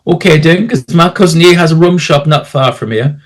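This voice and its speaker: Storybook role: excitable island chap, acted by a male narrator, blunt and teasing, voice often raised in pitch. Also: fairly quick, close to an everyday voice. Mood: friendly, teasing.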